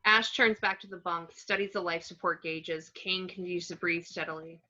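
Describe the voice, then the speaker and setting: A woman's voice: lowish voice, fairly even intonation, normal speed, bright, forceful tone. A woman narrating a screenplay's action descriptions in a scripted read. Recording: dull, lo-fi home recording.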